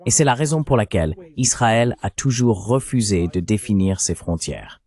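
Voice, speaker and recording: A man reading a measured, explanatory French voice-over of an interview. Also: fairly low pitch, lively intonation, darkish tone. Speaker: translation voice-over.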